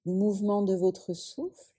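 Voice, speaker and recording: A woman yoga teacher leading a guided relaxation in a soothing, unhurried way, leaving long pauses between phrases. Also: mid-range voice, flat delivery, warm tone, slightly rough.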